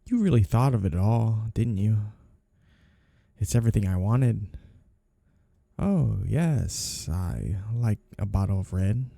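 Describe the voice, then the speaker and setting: A man's voice: on the low side, vocal fry, mellow, slightly rough, no room sound, exaggerated voice. A man playing a flirty, two-faced mafia boss in an ASMR period roleplay, with coy, teasing lines and sugary endearments. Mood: gushing, lovey-dovey.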